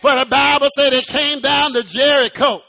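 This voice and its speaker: High-pitched preacher man shouting a sermon. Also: steady tone, normal speed, creaky, faint music behind. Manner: shouted, rhythmic preaching with sung phrases; repetitive call-outs to the congregation. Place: church.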